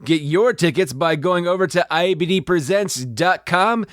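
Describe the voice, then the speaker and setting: High-voiced man reading radio show credits. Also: moderate pace, fairly bright tone, dry recording, studio quality. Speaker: announcer reading the show's credits. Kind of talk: scripted credits announcement with playful asides.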